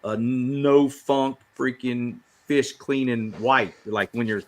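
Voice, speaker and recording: A man's voice: mid-range voice, fairly even intonation, slow pace, a little hiss or hum. A man chatting casually as a guest on a podcast. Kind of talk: casual chat.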